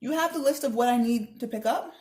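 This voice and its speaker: A woman's voice: on the high side, brisk, a little mellow, pitched above the performer's own voice, lightly acted. A woman voicing an encouraging but firm storybook mother who coaxes and instructs her children. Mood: firm, businesslike.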